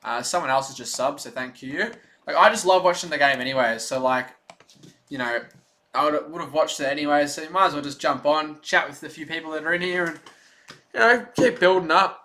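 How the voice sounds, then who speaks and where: A young man streaming his own play-by-play as a fan, chatty and excited, with a voice going hoarse. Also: fairly high pitch, fairly quick. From Australia. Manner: excited rooting fan, loud on big plays, chatty in lulls. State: losing his voice. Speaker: stream host.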